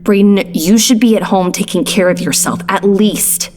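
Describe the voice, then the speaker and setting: A woman's voice: medium pitch, moderate pace, darkish tone, faint music behind, close to an everyday voice. A woman playing a concerned, caring newsroom colleague in an audio drama. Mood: concerned, shocked. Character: concerned, caring female colleague at a news station.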